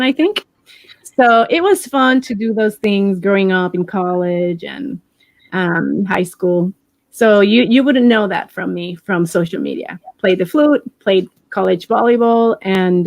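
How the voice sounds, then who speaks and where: A woman in her forties with a Puerto Rican accent speaks at a mid pitch, warm and encouraging. She often restarts and repeats words as she chats. The recording is dry, with no room sound. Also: a little slow, no echo. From Puerto Rico. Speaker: interview guest. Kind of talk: casual interview.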